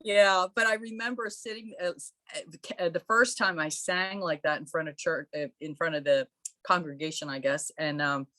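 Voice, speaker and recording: A woman's voice: fairly low pitch, steady tone, normal speed, dry recording. A woman born in Croatia, a musician guest in a podcast interview, telling stories in a chatty, friendly way. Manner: warm, chatty storytelling with laughs. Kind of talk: casual interview.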